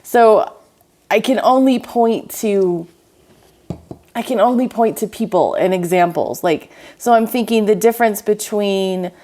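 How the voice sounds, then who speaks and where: A woman's voice: mid-range voice, measured pace, warm tone. A woman speaking as a podcast guest in casual chat, with playful, teasing banter.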